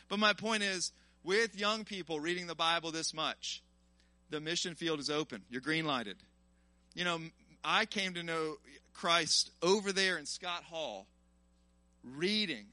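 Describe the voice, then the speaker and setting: Male preacher, early 40s, high forceful voice, colloquial and exhorting, informal live sermon. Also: dry recording. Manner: animated, colloquial, exhorting the listeners. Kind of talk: informal sermon to a live congregation, with personal testimony.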